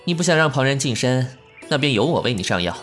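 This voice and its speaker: A man playing a refined, caring but sharp-tongued young bandit leader in a Chinese period audio drama, speaking earnestly in literary phrases. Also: mid-range voice, big pitch swings, fast, soft background music. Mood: cool teasing.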